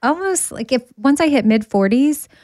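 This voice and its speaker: A woman's voice: high voice, animated, moderate pace, dark tone, dry recording, clean studio sound. A woman around forty co-hosting a podcast conversation, speaking candidly and reflectively about her own recovery. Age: 40s. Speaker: podcast host.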